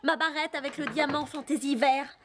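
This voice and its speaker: A woman's voice: high-pitched, normal speed, a bit bright, slight background noise. A woman playing a sly, catty teenage girl horse rider in a French cartoon dub, sarcastic and insinuating. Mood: suspicious.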